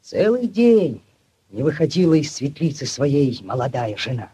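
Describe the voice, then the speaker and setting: An actor playing an ardent young village lad telling a legend theatrically in a 1940s radio play. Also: male voice, a little high, measured pace, slightly rough, full character voice. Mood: dramatic, urgent. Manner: theatrical, expressive storytelling. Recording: 1940s radio recording with music.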